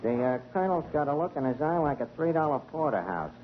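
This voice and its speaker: An actor playing a streetwise tavern manager who talks in slang and fumbles fancy words, in 1940s radio comedy style. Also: male voice, on the high side, big pitch swings, fast. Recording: muffled, very hissy 1940 radio broadcast.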